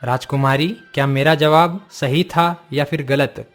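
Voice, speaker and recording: A man's voice: on the high side, fairly even intonation, measured pace, a bit bright, faint music behind. A man voicing a polite, confident unmarried king courting a princess in a cartoon story, with a courteous manner. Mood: assured.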